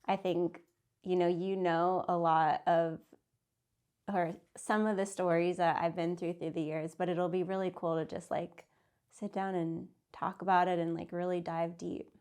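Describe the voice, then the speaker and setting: A woman in her thirties speaks as an interview guest in a slightly low, easy voice. She is chatty and informal, with filler words and light laughter. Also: little pitch movement, unhurried, a little mellow, clear, resonant tone. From Santa Fe, New Mexico, US. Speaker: interview guest, business owner. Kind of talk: casual interview.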